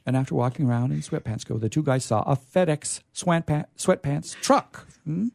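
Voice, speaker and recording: A man hosting a radio show, reading stories aloud in a theatrical, deliberate, emphatic way with casual asides. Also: on the high side, expressive, vocal fry, a little mellow, no room sound.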